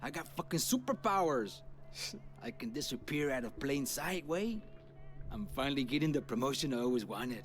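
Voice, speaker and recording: A male actor as a streetwise young-blood vampire from a video game, cocky and boastful. He speaks in full of slang and words, at an ordinary male pitch, with music playing behind him. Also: lively intonation, normal speed, lightly acted. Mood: excited, boastful. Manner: boastful slangy talk peppered with Spanish.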